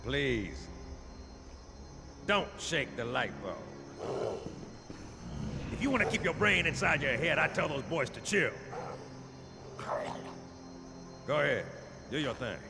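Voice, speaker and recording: An actor playing a tough, cocky, wisecracking marine sergeant in a video game, with a brash, sardonic manner. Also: male voice, mid-range voice, sing-song, measured pace, gravelly, pauses often, music in the background. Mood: sardonic threat. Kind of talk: video game cutscene acting. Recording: noisy vehicle and battle ambience.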